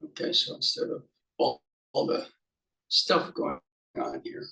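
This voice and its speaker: A man's voice: mid-range voice, huge pitch swings, creaky, bright, forceful tone. Man musing through a guided meditation. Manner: halting, musing, speaks in short fragments with pauses. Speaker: meditation teacher.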